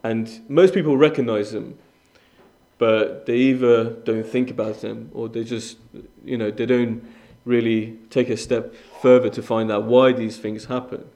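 A man's voice: medium pitch, fairly even intonation, a little slow, mellow. A young man from Iran addresses a congregation as a guest preacher. His testimony is earnest and conversational, and his mid-range voice stumbles into restarts and fillers as he speaks. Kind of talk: sermon with personal testimony.

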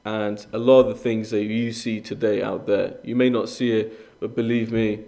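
A man's voice: lowish voice, little pitch movement, moderate pace, vocal fry, mellow. A young man from Iran speaking as a guest preacher, sharing a personal testimony in an earnest, conversational way with frequent fillers and restarts.

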